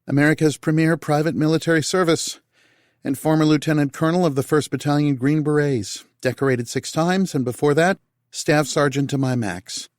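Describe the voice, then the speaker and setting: A man doing a sharp, teasing woman. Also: fairly high pitch, fairly even intonation, normal speed, dry recording, clean studio sound, pitched above the performer's own voice, natural voice. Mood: brisk. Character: poised confident young woman, teasing and sharp.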